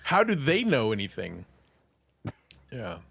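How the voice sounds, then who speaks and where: A man's voice: medium pitch, huge pitch swings, slow pace, vocal fry, a bit bright, a little hiss or hum. A man giving casual comedic movie commentary, joking around and often laughing as he talks. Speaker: watch-along commentator.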